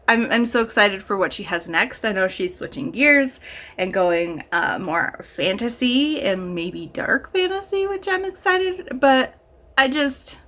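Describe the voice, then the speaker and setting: Bright-voiced, gushing woman chatting about favourites. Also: fairly high pitch. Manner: gushing, enthusiastic, emphatic. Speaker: book reviewer vlogger. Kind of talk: casual chat to camera.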